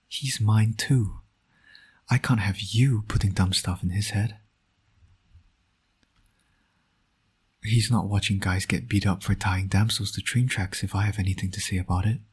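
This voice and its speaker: A man acts out a roguish male supervillain who is also a doting dad. The voice is very husky and breathy, sitting a little low. He speaks in a wry, flirtatious, teasing way, as in an intimate audio drama. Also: a little mellow. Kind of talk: intimate scripted audio roleplay.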